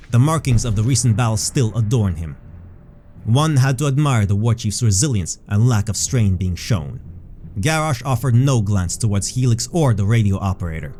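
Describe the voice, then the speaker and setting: A man with a mellow, mid-pitched voice narrates a fantasy tale. He reads in a measured storytelling way, with faint music underneath. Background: background music.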